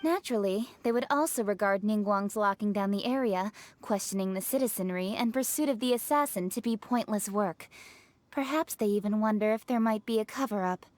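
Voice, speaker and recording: A woman voicing a proud, candid young official in a video game, speaking briskly and formally with self-assurance. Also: medium pitch, a little mellow, close to an everyday voice. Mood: skeptical, blunt. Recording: music behind the voice.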